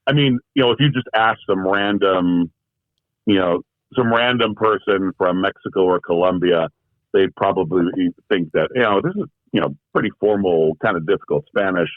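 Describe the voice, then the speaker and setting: A man with a lowish voice, speaking as an interview guest in a meandering, reflective way, full of "you know" and restarts. His audio comes through a thin, phone-like remote line, and it sounds dry and close. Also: normal speed, no room sound. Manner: thoughtful, rambling, frequent fillers and restarts. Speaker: guest, translator. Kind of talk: casual conversational interview.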